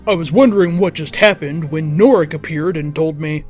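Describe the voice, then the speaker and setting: A man reading fan fiction aloud as an expressive, theatrical narrator. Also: on the high side, normal speed, faint music behind. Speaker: story reader.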